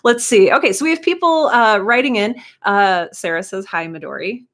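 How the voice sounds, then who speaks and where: A woman's voice: medium pitch, animated, normal speed, a bit bright. Chatty woman hosting a casual live stream. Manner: chatty, informal, encouraging. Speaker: live stream host and writing teacher. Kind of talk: casual live stream chat, greeting viewers and reading comments.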